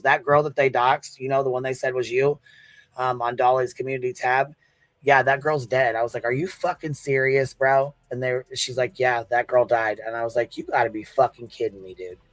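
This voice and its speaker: A man's voice: medium pitch, a bit bright, no echo. A man with an American accent doing casual livestream commentary, telling a story in informal, sweary, slangy talk.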